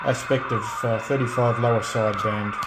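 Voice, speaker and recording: A man gives a casual, hands-on walkthrough as a radio restorer. His voice sits at a middle pitch and he pauses often, thinking aloud as he goes. Clear radio noise and hiss sit behind him. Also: steady tone. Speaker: radio restorer and video host. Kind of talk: casual hands-on demonstration chat.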